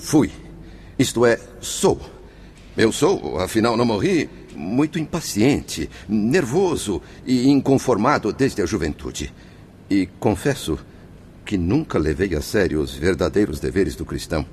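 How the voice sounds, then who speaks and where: Embittered, impatient dead merchant in radio drama; deep voice, grave confessional delivery, faint ambient noise. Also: male voice, sing-song, unhurried, darkish tone. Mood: troubled confession. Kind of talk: Brazilian religious radio drama acting.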